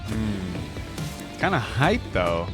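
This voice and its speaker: A man's voice: lowish voice, sing-song, slow pace. A man giving casual, musing reaction commentary as the host of a reaction video. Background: music from the watched video.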